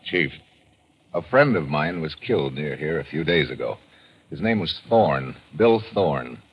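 An actor playing a tough, wry American adventurer, brisk and slangy, in an old radio drama. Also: male voice, very deep voice, lively intonation, husky, highs cut off, full character voice. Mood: businesslike. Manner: brisk, slangy, take-charge. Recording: noisy old radio recording.

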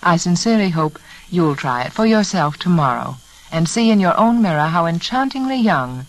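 A woman giving earnest, measured, persuasive advice in a 1940s radio commercial. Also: lowish voice, very animated. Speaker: beauty adviser in sponsor advertisement. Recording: faintly noisy vintage radio recording.

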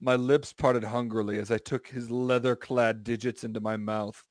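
A man's voice: medium pitch, steady tone, moderate pace, no room sound, studio quality. A man hosting a comedy podcast, reading a story aloud and breaking off into banter with friends.

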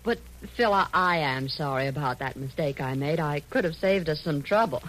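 Low-voiced coy flirt. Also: female voice, animated, moderate pace, a bit bright, slight background noise, poor audio. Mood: apologetic. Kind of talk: 1940s radio drama acting.

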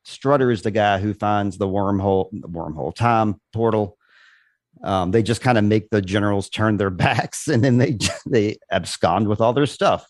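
A man's voice: on the low side, moderate pace, no room sound, studio quality. A man hosting a podcast, recapping a film in casual chat and laughing often. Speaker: podcast host.

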